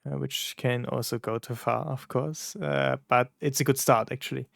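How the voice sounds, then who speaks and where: Halting German man with gravelly voice. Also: medium pitch, fairly even intonation, no room sound, clean studio sound. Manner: halting, many fillers and restarts, searching for words. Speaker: content creator reacting to songs. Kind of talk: casual reaction commentary.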